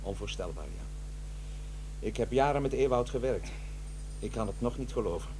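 A man's voice: mid-range voice, expressive, moderate pace, a little mellow, slight background noise, close to an everyday voice, low-quality recording. Man playing a hesitant courteous editor, shaken, radio play. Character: shaken, polite male magazine editor. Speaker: chief editor. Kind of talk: 1990s radio drama acting.

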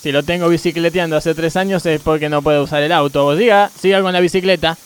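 A man's voice: on the high side, steady tone, quick pace, slight background noise, close to an everyday voice. A man with an Argentine accent playing an evasive, stubborn car mechanic who makes hesitant, repetitive excuses in a radio comedy sketch. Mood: evasive, defensive.

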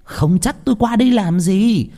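Man putting on a shrill, bossy gangster woman. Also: big pitch swings, fast talker, warm tone, breathy edge, big-room sound, exaggerated voice. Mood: excited. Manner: scolding, cursing, snappish. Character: cruel middle-aged female gangster babysitter, foul-mouthed, bossy. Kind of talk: acted audio story dialogue.

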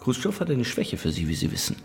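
An actor playing a calculating Soviet party leader in a radio drama, speaking in a formal, measured, commanding manner. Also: male voice, medium pitch, huge pitch swings, vocal fry, a little hiss or hum. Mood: formal, wry. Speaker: supporting character.

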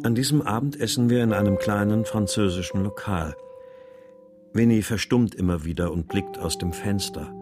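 An actor as a low-voiced brooding writer. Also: male voice, moderate pace, music in the background, close to an everyday voice. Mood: brooding, subdued. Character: brooding married male writer, grieving missing daughter. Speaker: narrator and lead character. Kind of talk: first-person radio drama narration and dialogue.

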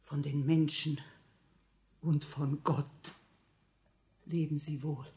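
A woman's voice: sits low, flat delivery, dark tone, a little hiss or hum. A woman acting a grieving, devout grand duchess, solemn and insistent, in 1950 radio drama style. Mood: solemn resolve. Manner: solemn, pleading, drawn-out delivery. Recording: vintage 1950 radio recording.

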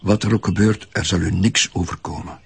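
A man with a Flemish accent playing a soft-hearted kidnapper in an audio drama, calm and reassuring in a gravelly voice. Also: sits low, a little fast, a little hiss or hum. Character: soft-hearted male kidnapper reassuring his young captive. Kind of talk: naturalistic audio drama acting.

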